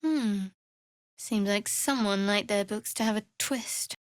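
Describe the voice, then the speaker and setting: An actress voicing a guarded, wry female cleric of a dark goddess in a fantasy video game, with a cool, measured, sardonic delivery. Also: medium pitch, no room sound, clean studio sound, close to an everyday voice. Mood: dry, musing.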